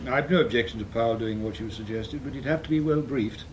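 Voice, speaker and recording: A man's voice: mid-range voice, fast, close to an everyday voice, low-quality recording. Man acting as doubtful intelligence official, careful, mid-range voice, radio play, slight background noise. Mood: wary. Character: cautious, doubtful British intelligence committee man.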